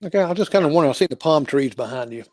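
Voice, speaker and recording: An older man hosting an interview show, chatting casually and halting with fillers and restarts. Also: a little high, lively intonation, a little mellow, dry recording. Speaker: talk show host.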